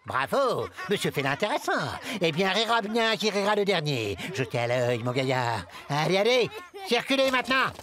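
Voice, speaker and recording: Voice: mid-range voice, very animated, moderate pace, a bit bright. An actor voicing a pompous, sarcastic scolding school authority in a cartoon, with theatrical mockery. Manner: theatrical, mocking, swooping delivery. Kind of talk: French animated cartoon dub.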